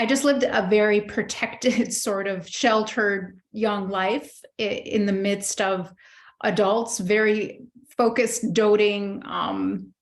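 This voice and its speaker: A middle-aged woman born in Egypt, a guest in an interview, telling her life story thoughtfully with many pauses and restarts. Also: medium pitch. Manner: thoughtful, many fillers and restarts, warm recollection. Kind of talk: reflective personal storytelling.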